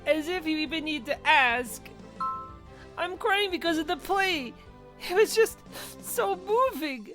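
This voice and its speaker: A woman playing an overwrought, sentimental playgoer in a fan dub, crying and speaking in a halting, melodramatic way. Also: extremely high pitch, animated, a bit bright, faint music behind, full character voice. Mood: sobbing, moved. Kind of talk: cartoonish fan-dub acting.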